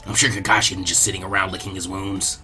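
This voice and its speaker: A man voicing a gruff, menacing ninja swordsman in an audiobook-style story, with a stern, warning growl. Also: low-pitched, little pitch movement, quick pace, pushed and bright, soft background music, pitched below the performer's own voice.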